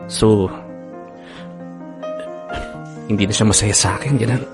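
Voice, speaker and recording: A man's voice: on the low side, fairly even intonation, quick pace, over music, natural voice. A man with a Filipino accent playing an anxious, devoted boyfriend in a radio drama, speaking in a hesitant, earnest way. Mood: hurt, unsure.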